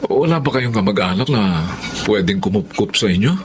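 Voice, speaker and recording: A man's voice: fairly low pitch, animated, normal speed, slight background noise, natural voice. A man playing a kindly, humble working man in a Filipino radio drama, reassuring and respectful in manner. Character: kindly working man, reformed drinker, gentle and welcoming. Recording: music under the voice.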